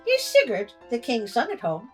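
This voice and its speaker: A woman's voice: a little high, wildly swooping pitch, normal speed, soft background music. A woman voicing a terrible giant sister from a storybook, with formal, archaic speech. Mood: formal, probing. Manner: formal archaic greetings and questions. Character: terrible giant sisters of a queen, looming and greedy.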